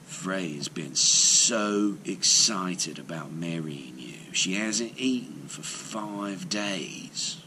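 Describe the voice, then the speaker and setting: Man playing a slow, sly trickster god. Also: low-pitched, animated, pushed and bright, a little hiss or hum, voice pushed down, heavily acted. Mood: sly, hushed. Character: sly trickster god, smooth-talking male schemer.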